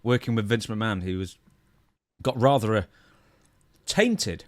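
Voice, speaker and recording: A man's voice: fairly low pitch, a little slow, no echo. A man co-hosting a podcast in casual chat, with dry, teasing banter as he runs through the show. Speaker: podcast co-host.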